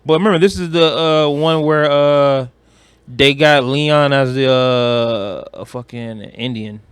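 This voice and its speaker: A man's voice: medium pitch, takes it slowly, clean tone, no echo. A man chatting casually as a podcast co-host, slangy and profane, with strong opinions. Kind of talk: casual chat about movies and games.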